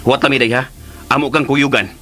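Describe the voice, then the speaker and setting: A man playing a protective, outspoken, folksy uncle in a radio drama, with an excitable, exclamatory delivery. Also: mid-range voice, fairly quick, close to an everyday voice. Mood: curious, surprised. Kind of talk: lively radio drama acting. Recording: music under the voice.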